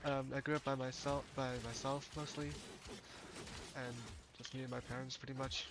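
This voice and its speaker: A young man chats with his viewers while gaming, easygoing and often laughing. His voice has a gravelly edge, and a steady wash of game noise sits behind him. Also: mid-range voice, moderate pace. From Hong Kong. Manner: chatty, laughs often, restarts words. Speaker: game streamer. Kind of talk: casual gameplay chat with viewers.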